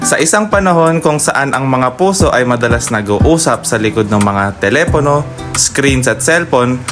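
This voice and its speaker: A man's voice: medium pitch, expressive, moderate pace, over music. A man narrating a scripted radio drama as its host, speaking to listeners directly in a teasing way.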